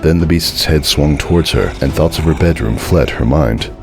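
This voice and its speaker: Male narrator, extremely low pitch, dramatic horror storytelling with clearly audible music underneath. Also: normal speed.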